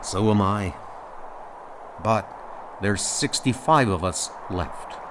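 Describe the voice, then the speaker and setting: A man's voice: fairly low pitch, slow pace, noisy background. A man voicing a resilient colony leader in a comic audio drama, familiar and plain-spoken. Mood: grieving. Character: rugged, resilient male colony leader, captain's old friend.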